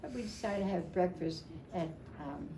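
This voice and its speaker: An older woman telling a personal story to a gathering in a chatty, halting way, repeating phrases as she goes. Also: fairly low pitch, animated. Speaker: storyteller at a family memorial. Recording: echoey room, clear background noise.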